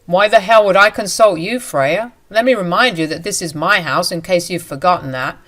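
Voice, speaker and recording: A loud, scornful husband who gives orders and will not be questioned, read by a woman narrator. The voice sits a little low and stays forceful and demanding. It belongs to a spoken drama story. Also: moderate pace. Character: angry domineering husband, entitled and scornful.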